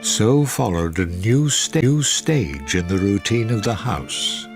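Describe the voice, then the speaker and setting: An actor playing a wry narrator, delivering scripted storytelling narration in a radio drama. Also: male voice, on the low side, animated, slow, music in the background. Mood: descriptive.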